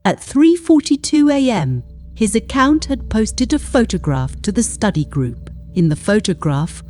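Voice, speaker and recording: A woman reading a scripted horror story as narrator, in a measured, deliberate way. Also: mid-range voice, warm tone.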